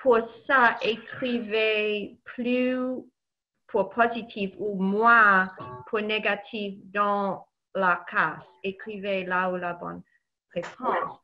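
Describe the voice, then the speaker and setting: A woman's voice: mid-range voice, little pitch movement, slow pace, soft background music. A woman teaching a French class over a video call, giving patient instructions and repeating her words. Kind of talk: online language class instruction. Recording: dull lo-fi video call audio.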